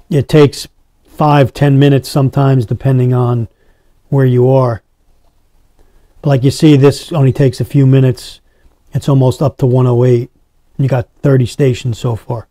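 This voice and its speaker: A man's voice: mid-range voice, warm tone, no echo. A man giving a casual hands-on product demonstration as a reviewer, talking to his viewers. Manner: addresses viewers as guys.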